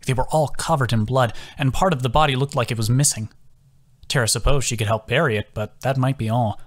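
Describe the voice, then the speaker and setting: A man's voice: medium pitch, a little fast. A man reading scripted fantasy fiction as an audiobook narrator. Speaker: audiobook narrator, author reading own book.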